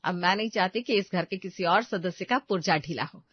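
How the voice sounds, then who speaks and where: A woman's voice: lowish voice, a little fast, slightly rough, no room sound, lo-fi sound. Woman acting bossy, nagging older mother, dry sound. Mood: stern, nagging. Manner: firm, nagging. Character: bossy older mother scolding her husband. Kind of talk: Hindi audio story dramatization.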